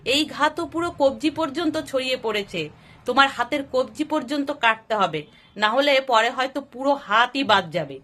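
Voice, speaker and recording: Village doctor, voiced by a female narrator: grave, matter-of-fact, instructing. High, bright voice. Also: animated, normal speed. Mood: grave warning.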